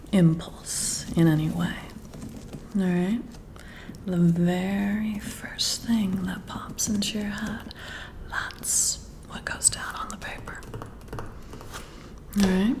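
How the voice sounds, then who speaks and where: A woman whispering breathily up close to the mic in an ASMR role-play, giving soothing, gentle and deliberate instructions. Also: mid-range voice. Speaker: ASMR creator role-playing a boss giving a personality test. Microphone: close-up ear-to-ear microphone.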